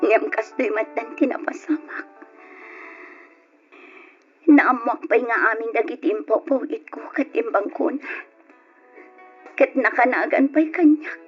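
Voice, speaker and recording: A woman's voice: on the high side, measured pace, pauses often, close to an everyday voice. A woman acting a grieving young woman in an old radio drama, with a tearful, melodramatic delivery. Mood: subdued, sorrowful. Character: grieving young woman who lost both parents. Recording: dull lo-fi radio drama with music.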